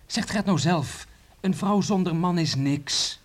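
An actor in a Dutch radio play playing an earnest, dutiful village man who speaks in a deliberate, hesitant and formal way. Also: high voice. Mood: earnest, careful. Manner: deliberate, hesitant, formal third-person address.

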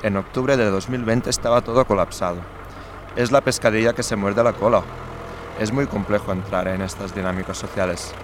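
A man's voice: on the low side, fairly even intonation, brisk, background noise. A man giving conversational testimony, with urban ambience behind him.

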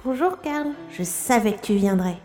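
A woman voicing a sweet, trusting little alien baby with a gentle, childlike manner in an audio drama. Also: mid-range voice, expressive, normal speed, soft background music, lightly acted. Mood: tender.

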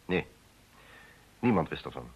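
An actor playing a formal, defensive politician in a radio play, speaking hesitantly and with measured words. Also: male voice, very deep voice, sing-song, slightly rough, full character voice. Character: defensive male politician confessing an affair, formal and evasive. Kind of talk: Dutch radio play acting. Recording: dull old radio play recording.

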